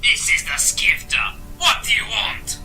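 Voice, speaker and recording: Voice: medium pitch, very animated, measured pace. An actor voicing a sly, taunting ship captain with a menacing drawl in a sci-fi audio drama. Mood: sly menace. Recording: loud music behind.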